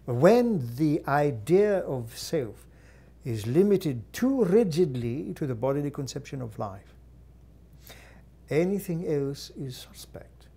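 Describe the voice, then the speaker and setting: A man channels a guide entity in a formal, unhurried teaching voice. His pitch sits a little high and his tone is mellow and dark. He breaks his phrases with long pauses and quiet hums. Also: sing-song, vocal fry, breathy edge. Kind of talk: slow deliberate channeled teaching.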